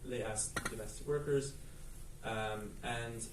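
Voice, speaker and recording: A man's voice: mid-range voice, steady tone, takes it slowly, noisy background. A man from the United States, a film director, answering questions in a festival Q&A in a thoughtful, earnest way with fillers. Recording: echoey room, mic at a distance.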